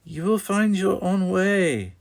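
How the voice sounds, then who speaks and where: A man voicing a gentle mother in an audiobook, in a soft, hushed and soothing way. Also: a little high, a little slow, pitched above the performer's own voice. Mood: tender. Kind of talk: audiobook character voice.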